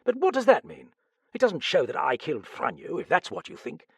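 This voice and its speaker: Defensive gentleman suspect for audiobook mystery, read by a man: snappish, indignant, raised pitch, swooping emphasis. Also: sing-song, a little fast, gravelly, husky, no echo, clean studio sound, heavily acted. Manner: indignant, protesting.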